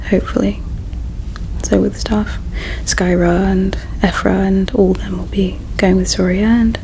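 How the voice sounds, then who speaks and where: A woman playing a gentle, caring alien in an ASMR roleplay, speaking in a slow, hushed, tender and wistful way with a breathy quality. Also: mid-range voice, noisy background. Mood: wistful, resigned. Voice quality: breathy whisper with creaky edges. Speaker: ASMR performer. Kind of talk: ASMR roleplay, whispered and soft-spoken.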